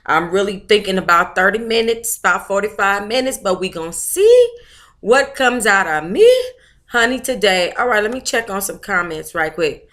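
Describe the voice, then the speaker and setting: A woman's voice: medium pitch, lively intonation, moderate pace, a bit bright. An American woman hosting a book club livestream, talking in a casual, chatty, slangy way with familiar endearments.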